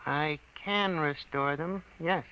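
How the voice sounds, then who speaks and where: An actor playing an eccentric, embittered older scientist in a 1950s radio drama, with a precise, formal, pompous way of talking. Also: male voice, on the high side, takes it slowly, smooth. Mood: proud, explaining. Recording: dull vintage 1950s radio recording.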